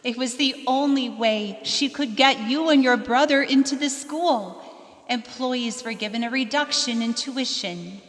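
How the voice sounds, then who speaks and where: Motherly Christmas ghost, played by a woman: drenched in reverb, high, stately, gentle yet firm. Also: unhurried. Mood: calm. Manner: stately, maternal. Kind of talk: stage radio play acting. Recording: long echoing reverb on voice.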